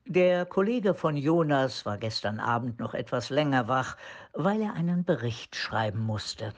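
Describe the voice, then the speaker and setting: A male storyteller reading scripted radio play narration. His mid-range voice moves up and down in a lively way. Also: medium pitch, unhurried, dull audio. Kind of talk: scripted audio drama narration.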